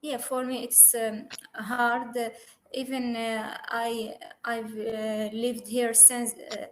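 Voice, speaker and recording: A woman from Syria practising English on a video call, hesitant and full of pauses. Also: fairly high pitch, level tone, a little hiss or hum. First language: Arabic. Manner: hesitant, many pauses and fillers. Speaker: English student. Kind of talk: online conversation lesson.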